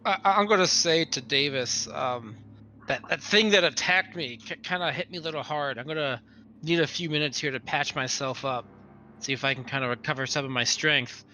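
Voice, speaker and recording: A man's voice: fairly high pitch, a little fast, bright, forceful tone, slight background noise. A man playing a tabletop roleplaying game, improvising his character's talk in a casual way.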